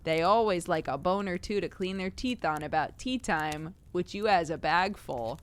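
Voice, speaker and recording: A woman voicing a gruff working-class male zookeeper, dropping his h's in a slow drawl. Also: lowish voice, close to an everyday voice. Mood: grumbling. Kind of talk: reading a Victorian tale aloud.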